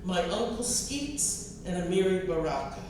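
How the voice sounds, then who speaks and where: A woman's voice: fairly low pitch, sing-song, unhurried. Distant, echoey American woman reading her writing. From US East Coast. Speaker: poet and college professor reading own work. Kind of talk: literary reading of poetry and personal essay. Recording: distant mic, echoey room, clear noise.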